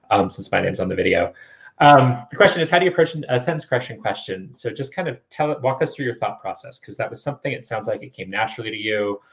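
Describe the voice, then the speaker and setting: A man hosting a conversational online interview, chatty and encouraging as he puts questions to his guest. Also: lowish voice. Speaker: interviewer and host of a test-prep show.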